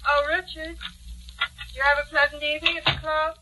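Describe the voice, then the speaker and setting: A woman's voice: sits high, flat delivery, moderate pace, lightly acted. A woman playing a judge's clinging, frail-hearted wife with theatrical, breathless pleading in 1940s radio melodrama style. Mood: sweetly probing. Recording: 1940s radio recording, faint noise.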